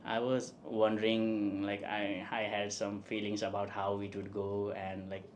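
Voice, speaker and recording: Nepali man, halting talk with tag questions. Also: fairly low pitch, completely flat delivery, a little hiss or hum. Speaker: guest, statistics professor. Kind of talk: casual interview.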